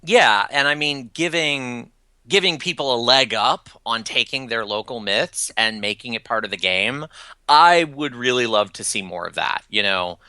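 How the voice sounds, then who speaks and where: A man's voice: medium pitch, moderate pace, bright, forceful tone, dry recording. A man chatting casually on a podcast, enthusiastic and filling his talk with "you know" and "I mean".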